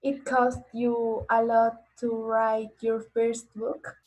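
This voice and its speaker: Very slow, halting young woman. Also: on the high side, level tone, darkish tone, rough recording. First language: Spanish. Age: 20s. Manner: hesitant, halting English. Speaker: audience member. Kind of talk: casual video call chat. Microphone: video call.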